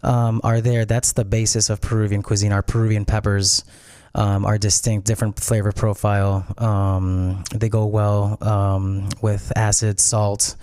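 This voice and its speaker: A man's voice: on the low side, level tone, a little slow, a little mellow, clean tone, dry recording. A man from Peru, a restaurant founder and interview guest, explaining at length in a thoughtful, easy way. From Peru and Miami. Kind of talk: casual interview.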